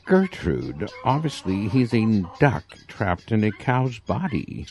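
A man's voice: lowish voice, huge pitch swings, slow, vocal fry, darkish tone, full character voice. A man playing an earnest, reassuring doctor in a comic audio drama, persuasive and a little patronizing. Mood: persuasive, approving. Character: smooth-talking, earnest, reassuring male doctor.

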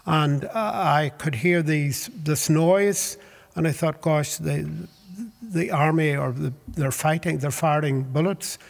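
A man's voice: a little high, creaky, big-room sound. Older Northern Irish man recalling. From Northern Ireland. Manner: measured, hesitant with fillers and restarts. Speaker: interviewee, Baptist minister. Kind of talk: reflective storytelling.

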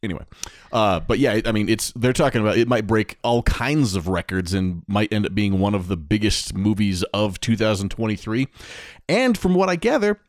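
A man's voice: fairly low pitch, expressive, normal speed, no echo, studio quality. A man co-hosting a podcast, talking casually in an informal, conversational manner. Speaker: podcast co-host.

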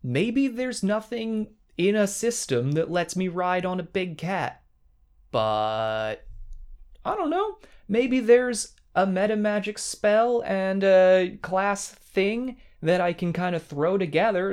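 A man co-hosting a casual podcast chat about tabletop games, enthusiastic, talking in quick bursts with playful asides. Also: high-pitched, very animated, a little mellow, clear, resonant tone.

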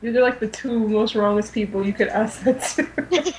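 A woman's voice: a little high, normal speed, a little mellow, a little hiss or hum, rough recording. A woman co-hosting a podcast, chatting and bantering casually without a script. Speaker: podcast co-host.

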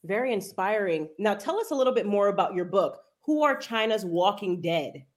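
A woman with a medium-pitched voice hosts a podcast interview. She speaks in a presenter's style, with introductions and prompts for her guest. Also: expressive, normal speed. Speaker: podcast host. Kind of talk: interview hosting, introductions and questions.

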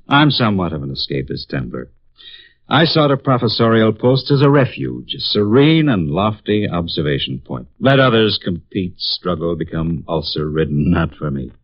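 An actor playing a brooding, cynical young psychology professor in a 1950s radio drama, speaking in a somber way. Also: male voice, low voice, very animated, normal speed, no echo, heavily acted. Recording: dull lo-fi 1950s radio broadcast.

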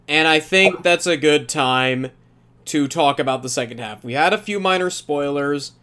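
A man's voice: fairly high pitch, normal speed, bright, forceful tone, smooth. A man leads a casual review podcast. He speaks emphatically and with strong opinions. He slips into mock quotations as he retells things. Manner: emphatic, mock-quoting storyteller. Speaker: podcast host leading an anime review.

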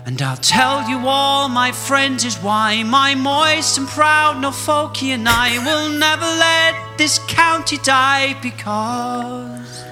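A man sings his own folk song at a slow, unhurried pace, drawing out each line. Instruments play clearly behind his voice. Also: extremely high pitch, sharp tone, soft background music. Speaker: songwriter.

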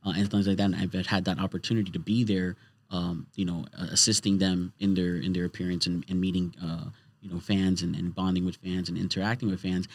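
A man's voice: deep voice, level tone, fairly quick, dry recording. A man hosting a casual podcast conversation in a studio, rambling and restarting his sentences as he goes. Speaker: podcast host. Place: small podcast studio, indoors.

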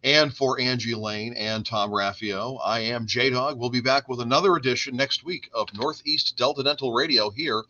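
A man's voice: medium pitch, normal speed, few pauses, pushed and bright. A man hosting a radio show, closing it with a punchy announcer delivery. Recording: dull lo-fi radio audio.

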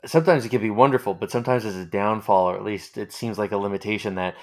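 Low-voiced male interviewer, halting delivery. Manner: halting, repeats phrases while thinking. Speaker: interviewer, host. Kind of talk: conversational interview.

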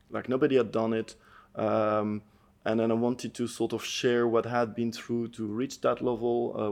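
A middle-aged man from France, a podcast guest telling his story in an earnest, thoughtful way, often pausing to find his words. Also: fairly low pitch, creaky, a little mellow. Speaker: podcast guest, endurance athlete. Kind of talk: reflective storytelling interview.

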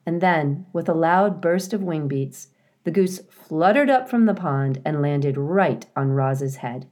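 Woman, storybook narrator, slightly low pitch, lively intonation, scripted children's reading. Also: unhurried. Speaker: reader of a children's book.

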